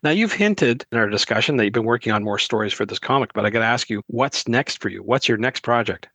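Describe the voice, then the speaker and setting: A man's voice: mid-range voice, expressive, quick pace, pushed and bright, breathy edge. A man hosting a podcast, putting questions to a guest in a conversational interview style.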